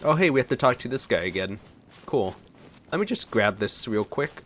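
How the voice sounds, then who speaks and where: A man's voice: medium pitch, animated, normal speed, a bit bright, slight background noise. A man giving casual, offhand let's play commentary on a video game in a laid-back manner. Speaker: gaming video creator.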